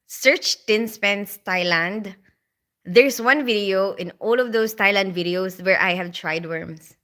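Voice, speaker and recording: Woman, Filipino accent, bright clear voice, casual livestream chatter, lots of fillers, reading comments aloud. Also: medium pitch, slow. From Philippines. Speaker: livestream host.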